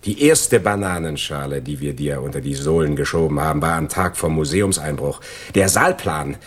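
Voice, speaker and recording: An actor playing a gloating, envious crook in a German radio crime drama, sardonic and lecturing in a mock-polite way. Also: male voice, extremely low pitch, normal speed, breathy edge. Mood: smug, sardonic. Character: gloating, envious male crook, betraying his friend.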